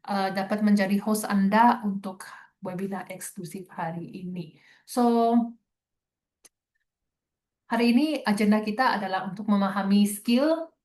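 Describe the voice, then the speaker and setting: A young woman with a Sabahan Malay accent hosts a trading webinar, her voice sitting at a middling pitch. She talks in a chatty way, slipping English words into her Malay as she explains things to her viewers. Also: measured pace, pauses often, a little mellow. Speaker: webinar host and trader.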